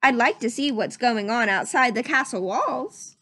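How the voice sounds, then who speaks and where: Lady as a bossy young storybook prince, high voice, swoops around a lot, says everything emphatically. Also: lively intonation, moderate pace, sharp tone, no echo. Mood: scheming, restless. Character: bored, self-assured young prince, playfully bossy. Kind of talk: children's storybook read-aloud.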